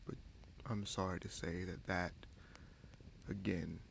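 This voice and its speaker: A man playing a scheming sorcerer prince in a fantasy audio roleplay, smug and coolly menacing, with a gravelly voice and slow, deliberate, taunting delivery. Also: deep voice, level tone, slight background noise, heavily acted. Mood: cold accusing. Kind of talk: fantasy audio roleplay, dramatic monologue addressed to listener.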